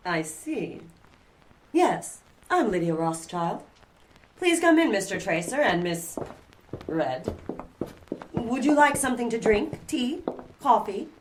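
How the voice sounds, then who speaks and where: A woman's voice: on the high side, big pitch swings, slow, pauses often, slight background noise, low-quality recording. A woman playing a wealthy middle-aged society mother in an audio drama, formal, genteel and condescending. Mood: cool politeness. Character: wealthy, haughty society mother, dismissive and proper.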